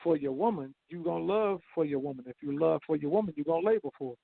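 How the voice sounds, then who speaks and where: A man's voice: fairly high pitch, quick pace, vocal fry, husky, no echo. A man in his forties co-hosting a casual radio chat, talking in an earnest, repetitive, sermon-like way. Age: 40s. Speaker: co-host. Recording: dry, phone-like lo-fi line.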